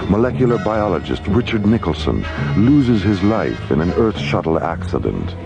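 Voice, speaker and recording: A man narrating a science fiction radio drama in a scripted documentary style, deliberate and portentous. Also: deep voice, very animated, vocal fry, highs cut off, heavily acted. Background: loud dramatic music.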